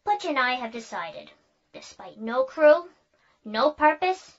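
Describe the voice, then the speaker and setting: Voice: sits high, sing-song, takes it slowly, heavily acted. An actor playing a curt, bossy, fed-up little robot leader in a comic science fiction audio drama. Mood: brisk, resolved. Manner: curt, commanding. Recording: dull, lo-fi audio.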